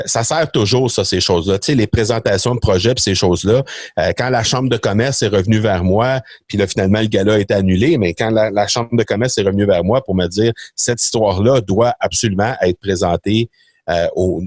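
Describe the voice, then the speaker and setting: Casting: Québécois man, middle-aged, very low voice, halting casual talk, dry sound. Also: darkish tone, no room sound. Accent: Québécois French. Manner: hesitant, many fillers and restarts. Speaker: podcast guest, entrepreneur. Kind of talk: casual interview chat.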